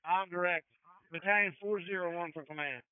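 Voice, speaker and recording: A man's voice: high-pitched, brisk, smooth, dry recording. A man speaking as a fire incident commander, giving terse, procedural orders over a two-way radio. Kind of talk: emergency radio dispatching. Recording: phone-like fire radio channel.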